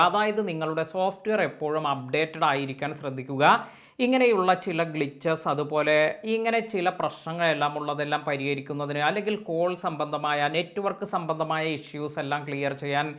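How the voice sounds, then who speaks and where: A man's voice: a little high, fairly bright tone. Patient man explaining phone fixes. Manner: patient, explains slowly in detail for older viewers. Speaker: tech tutorial host. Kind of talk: step-by-step phone tutorial narration.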